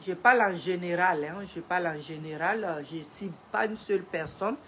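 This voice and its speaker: A woman's voice: lowish voice, fairly quick, fairly bright tone, slight background noise. A middle-aged woman with a head cold, hosting a live stream, greeting viewers and lecturing with lots of repetition. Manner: repeats phrases, rising emphatic when preaching. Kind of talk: slow moralizing live-stream talk. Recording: dull lo-fi stream audio with faint ambience.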